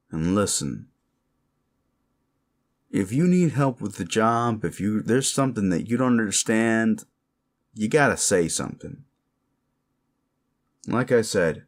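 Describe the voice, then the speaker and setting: A low, animated voice for a sleazy werewolf boss, acted by a man in a roleplay audio drama. He bullies with crude, condescending menace, his pitch sliding around as he taunts and threatens.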